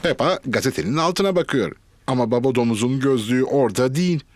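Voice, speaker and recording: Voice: mid-range voice, expressive, quick pace, a little mellow, dry recording, faint music behind, natural voice. An adult narrator telling a children's cartoon story in simple, explanatory storybook sentences.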